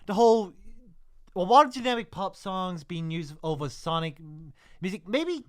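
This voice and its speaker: A man's voice: high voice, lively intonation, moderate pace. A man co-hosting a casual chat, talking in a rambling, stop-start way. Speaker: podcast co-host. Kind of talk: casual chat about video game films. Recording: music behind the voice.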